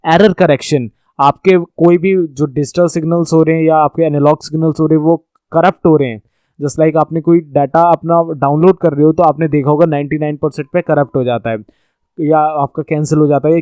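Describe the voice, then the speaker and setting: Male tutor, Indian accent, slightly high pitch, patient repetitive lecture delivery, no room echo. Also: darkish tone, smooth. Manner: repetitive step-by-step explaining, checks understanding. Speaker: computer networking teacher. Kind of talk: explanatory lecture over slides.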